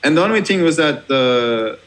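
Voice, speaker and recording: A man's voice: a little high, lively intonation, fairly bright tone. A young man from Portugal with a Portuguese accent talks as an interview guest. He is a DJ who restarts and repeats his words often, laughs easily, and keeps a casual tone. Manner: halting, many restarts and fillers, laughs easily. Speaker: guest DJ and music producer.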